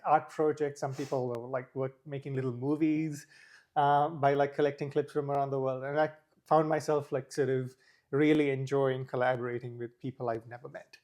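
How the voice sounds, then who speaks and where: A man's voice: mid-range voice, darkish tone. A young man from Sri Lanka, a guest talking casually in an interview, with many fillers.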